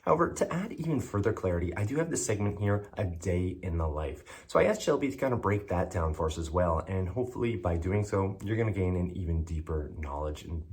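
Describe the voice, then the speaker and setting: Male interviewer and presenter, deep voice with animated swings, casual and discursive delivery. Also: fairly quick, hardly any pauses. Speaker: host and interviewer.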